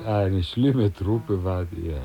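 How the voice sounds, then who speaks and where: An actor playing an older neighbourhood shopkeeper in a radio drama, familiar, wry and obliging. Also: male voice, deep voice, drawn-out words, creaky, husky, dull audio, exaggerated voice. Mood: teasing, nostalgic.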